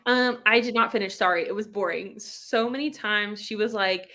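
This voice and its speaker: A woman's voice: mid-range voice, normal speed, pushed and bright. A woman hosting a casual live book club chat, talking in an informal, slangy, rambling way. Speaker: live show host.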